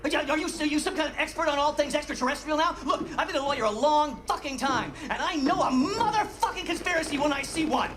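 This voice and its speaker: Manic, sweary American conspiracy nut, swooping pitch. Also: male voice, fast, hardly any pauses. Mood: indignant, heated. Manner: rambling, excitable, sweary, emphatic. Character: manic, foul-mouthed American conspiracy theorist and ex-lawyer. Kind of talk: video game cutscene acting.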